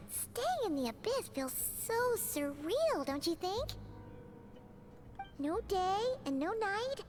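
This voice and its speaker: A woman's voice: very high voice, exaggerated voice. A woman voicing a tiny, always-hungry fairy companion in a game, chatty and bubbly, talking about herself in the third person.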